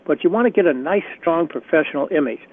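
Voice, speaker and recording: A man with a slightly raspy voice leading a career workshop on a conference call, chatty and encouraging with frequent restarts. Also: a little high, expressive, quick pace. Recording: tinny phone line.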